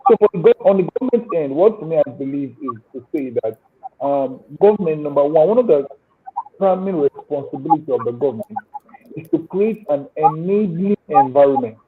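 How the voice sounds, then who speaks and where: A man's voice: a little high, lively intonation, brisk. A man with a Nigerian accent, speaking as a panelist over a call and earnestly explaining his points with proverbs and examples. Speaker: panelist, agribusiness owner. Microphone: remote call audio. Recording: tinny call line.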